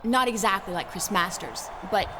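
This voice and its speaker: A woman's voice: medium pitch, normal speed, close to an everyday voice. A woman playing a scheming, flirty wrestling diva with a fiery temper in a video game cutscene, coy and demanding. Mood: flirty, excited. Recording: noisy game cutscene audio.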